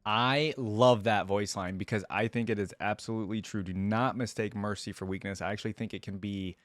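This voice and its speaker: A man's voice: lowish voice, steady tone, fairly quick, no echo, clean studio sound. A man hosting a reaction video, talking casually and earnestly like an enthusiastic fan.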